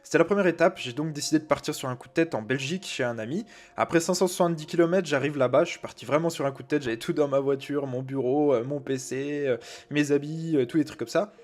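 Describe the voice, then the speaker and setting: A man's voice: fairly high pitch, quick pace. A man in his twenties from France, telling his own story in a casual vlog, full of "euh" and "bah" fillers. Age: 20s. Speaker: YouTuber.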